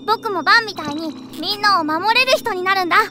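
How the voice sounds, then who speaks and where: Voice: very high voice, fast talker, background noise. An actor playing an innocent, eager little boy in a Japanese audio drama, speaking in an earnest childlike way. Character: eager, innocent little boy who admires his big brother figure. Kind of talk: Japanese anime-style audio drama acting.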